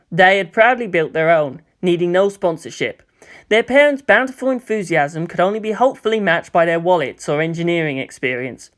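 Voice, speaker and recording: Story narrator, medium-pitched and very animated, expressive scripted reading with dramatic emphasis. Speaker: audiobook narrator. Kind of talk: scripted narration of fan fiction.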